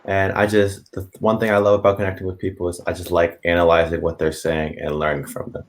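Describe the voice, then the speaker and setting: Teenage boy, American accent, low voice, moderator, hesitant. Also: little pitch movement, fast talker. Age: teens. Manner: hesitant, with restarts. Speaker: student moderator. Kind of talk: moderating an online discussion.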